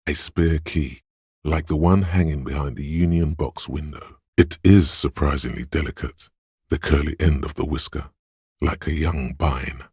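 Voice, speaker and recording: A solemn, dryly wry narrator inside a detective's head in a video game. The voice sits extremely low and is thick with husky gravel. It moves in measured, literary phrases with deliberate pauses, recorded very dry and close. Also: male voice, steady tone, darkish tone, dry recording, heavily acted. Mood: hushed, wondering. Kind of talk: scripted game narration, second person.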